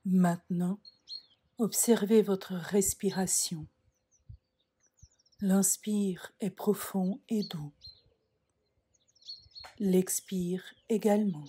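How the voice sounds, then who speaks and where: A woman guiding a yoga nidra relaxation in a calm, soft, breathy voice, giving measured instructions with frequent pauses. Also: mid-range voice, slight background noise. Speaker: yoga nidra meditation guide. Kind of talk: slow guided relaxation meditation.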